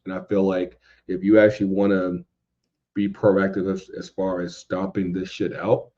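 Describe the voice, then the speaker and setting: A man with a low, mellow voice talks casually and emphatically as a podcast host. He is opinionated, repeats himself for stress and swears freely, and the audio is a little dull and lo-fi. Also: little pitch movement, moderate pace. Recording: dull lo-fi sound.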